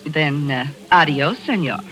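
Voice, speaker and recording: An actress playing a quick, sharp-tongued woman photographer in a 1940s radio drama, spirited and skeptical. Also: sits low.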